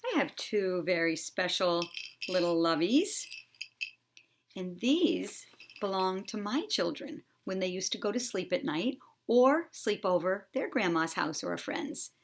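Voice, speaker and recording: A middle-aged woman, an expressive storyteller reading aloud for young children. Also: medium pitch, unhurried, a little hiss or hum.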